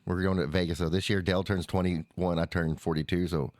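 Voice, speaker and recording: A man in his forties hosting a casual podcast, asking quick, joking questions. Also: low voice, steady tone, darkish tone, dry recording. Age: 40s. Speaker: podcast host.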